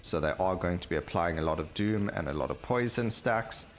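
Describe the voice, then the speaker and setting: A man's voice: low-pitched, animated, fairly quick. A man giving casual gameplay commentary, thinking aloud and rambling as he plays, with game music behind him. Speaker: gaming video host.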